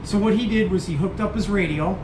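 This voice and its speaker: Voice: mid-range voice, fast talker. Casting: folksy how-to host, casual and repetitive for beginners, recorded in a roomy space with background noise. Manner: folksy, repeats points for beginners. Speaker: host demonstrating radio gear. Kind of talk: casual hands-on tutorial.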